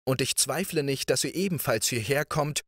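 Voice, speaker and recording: Guy doing a clever ugly prince from a fairy tale, pushy and pleading, high and dry, no room sound. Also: moderate pace, fairly bright tone, no room sound, close to an everyday voice. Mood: eager. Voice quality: pleading, emphatic, rising high. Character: witty ugly young prince, eager suitor.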